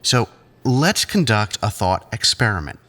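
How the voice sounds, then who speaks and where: A smug controlling shrink for an audio drama, deepish male voice that swings up and down, talks down to patients. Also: sing-song, sharp tone, heavily acted. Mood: falsely soothing. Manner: patronizing, measured therapy-speak. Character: condescending, controlling male psychiatrist leading group therapy.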